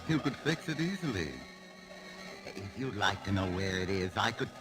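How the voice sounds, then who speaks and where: An actor playing a sinister yet genial mysterious traveler, narrating a vintage radio mystery with wry insinuation. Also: male voice, on the low side, animated, normal speed, over music, close to an everyday voice, poor audio. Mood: genial menace. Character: sinister, genial mysterious train traveler who tells strange tales. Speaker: storyteller host.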